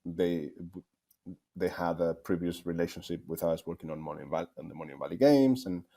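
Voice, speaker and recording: A man's voice: low-pitched, a little fast, vocal fry, mellow. A man from Spain with a Spanish accent, answering interview questions conversationally as a game developer, thinking aloud with frequent repeats. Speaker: game developer, guest speaker.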